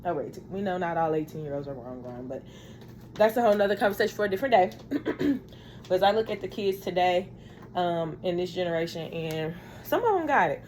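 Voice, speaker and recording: A woman in her thirties talks to camera in a loose, rambling vlog chat, drifting from thought to thought and calling her viewers y'all. Her voice sits a little low for a woman, and a faint hiss of background noise runs under it. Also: lively intonation, fairly quick, darkish tone. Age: 30s. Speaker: vlogger.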